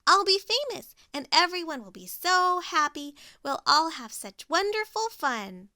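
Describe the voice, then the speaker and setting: A woman's voice: high-pitched, moderate pace, no room sound, clean studio sound, full character voice. Woman doing a bubbly boastful pig. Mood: cheerful. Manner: bubbly, excited, exclaiming. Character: cheerful boastful carefree pig.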